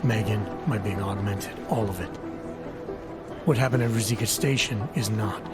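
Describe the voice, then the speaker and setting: A man playing a gruff, guarded augmented counter-terrorism agent with a low, gravelly voice and terse deadpan delivery in a video game. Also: moderate pace, natural voice. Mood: firm, serious. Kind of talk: video game cutscene acting. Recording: loud music behind.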